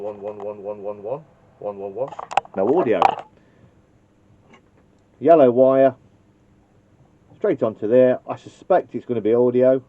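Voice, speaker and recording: A man's voice: on the low side, no echo. A man casually demonstrating how to wire a radio, thinking aloud and joking at his own expense. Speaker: CB radio technician. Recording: dull lo-fi recording.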